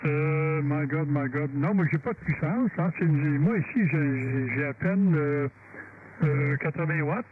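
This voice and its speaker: A man with a Quebec French accent and a slightly high voice talks as a radio operator. His delivery is informal and halting. The sound is very thin and narrow, with clear noise over the transmission. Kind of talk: casual radio chat, technical troubleshooting.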